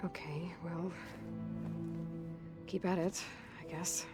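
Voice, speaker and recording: A guarded young adventurer, lowish voice. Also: female voice, flat delivery, slow. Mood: awkward, guarded. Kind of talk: video game dialogue acting. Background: game music.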